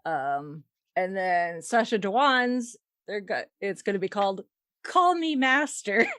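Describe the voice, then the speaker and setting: Woman podcast host, lively up and down voice, dry close sound, casual fandom news chat, kinda wry. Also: mid-range voice, a little slow, no echo. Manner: wry, playful, chuckles through talk.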